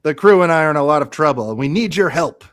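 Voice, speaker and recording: Confident, urgent starship hero played by a man, slightly high voice, direct and commanding, radio play. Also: lively intonation, fast, a bit bright. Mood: pressing. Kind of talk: live radio play read.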